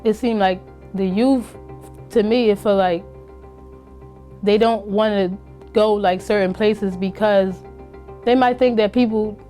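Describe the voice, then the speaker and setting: A woman's voice: medium pitch, fairly even intonation, a little slow, pauses often, warm tone, soft background music. A woman in her early twenties speaking casually in a documentary interview about leaving foster care, with many fillers. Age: early 20s. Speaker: former foster youth interviewee.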